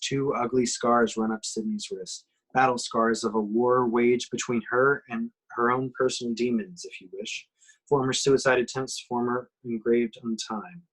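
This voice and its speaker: A man's voice: mid-range voice, poor audio. A man reading action description aloud at an amateur table reading, in a dramatic storytelling way with occasional stumbles. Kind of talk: scripted narration, amateur table read.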